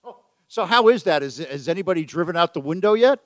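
Joking radio host, dry close sound. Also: male voice, fairly high pitch, expressive, fast, dry recording. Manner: jokey, self-deprecating, chatty. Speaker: radio talk show host. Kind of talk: casual chat. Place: event venue with photographers.

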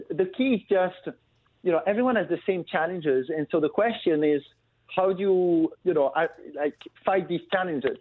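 A man from Belgium, a guest on a business talk show, speaking over the phone in a casual, fluent way with many fillers. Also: on the high side. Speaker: guest, family business founder. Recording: tinny phone line with faint noise.

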